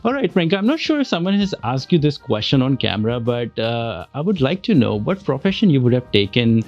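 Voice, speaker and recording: A man's voice: mid-range voice, big pitch swings, fairly quick, a little mellow, highs cut off, faint music behind. Earnest male interview host. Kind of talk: interview show intro and questions.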